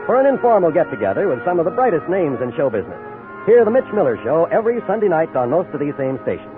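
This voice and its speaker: A man's voice: on the high side, sing-song, fast talker. A man with an American accent reading scripted credits and announcements as a 1950s radio network announcer. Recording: muffled old radio broadcast, music behind.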